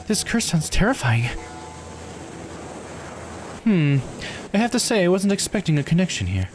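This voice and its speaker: A man's voice: high-pitched, sing-song, moderate pace, music in the background, voice pushed up. A man voicing a curious, informal investigator in a visual novel read-aloud. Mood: intrigued.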